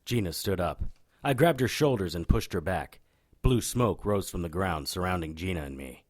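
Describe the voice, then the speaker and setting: An actor playing a hard-boiled occult private investigator in a noir audio drama, with a deadpan, wry manner. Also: male voice, low-pitched, moderate pace. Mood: deadpan, brooding. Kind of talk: noir radio drama acting with first-person narration.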